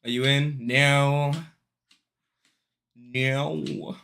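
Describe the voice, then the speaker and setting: A young man on a casual live stream, talking in slang, swearing and joking with his viewers. His voice sits at an ordinary middle pitch with a bright, forceful edge. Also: level tone, drawn-out words, clean tone. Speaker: day trading livestreamer.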